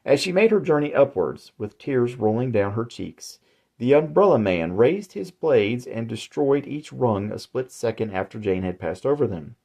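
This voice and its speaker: A man narrating his own horror story in a measured, deliberate way. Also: medium pitch, sing-song, dark tone. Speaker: author and narrator.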